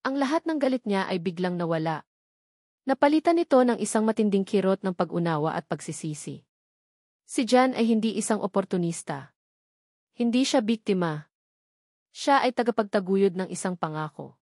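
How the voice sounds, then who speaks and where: A woman's voice: medium pitch, expressive, normal speed, pauses often, a little mellow, dry recording, studio quality. A woman with a Filipino accent narrating a scripted dramatic love story as an audiobook reader.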